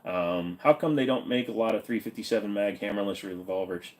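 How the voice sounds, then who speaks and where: A man's voice: lowish voice, fairly quick. A man hosting a casual livestream Q&A, answering viewer questions in an offhand, blunt, joking way with some swearing.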